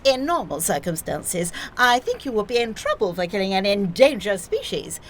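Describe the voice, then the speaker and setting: A woman's voice: mid-range voice, very animated, moderate pace, a little hiss or hum, natural voice. Woman acting an eccentric nurse, wildly swooping voice. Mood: brisk, odd. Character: eccentric female nurse, breezy about grave news. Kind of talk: audio play acting.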